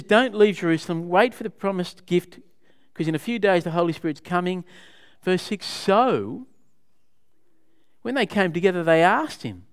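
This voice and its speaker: A man teaching scripture to a small group, his voice smooth and clear and sitting a little high. He talks conversationally, stopping often to put questions to the room and explain what a passage means. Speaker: Bible study leader. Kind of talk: interactive Bible teaching.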